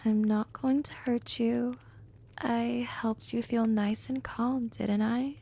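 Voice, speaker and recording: A woman playing a smug, gently dominant female vampire in an intimate ASMR roleplay, speaking softly and often slipping into whispers, teasing and coaxing. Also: fairly high pitch, steady tone, slow pace, heavily acted. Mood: soothing. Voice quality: soft, breathy, often dropping into whispers.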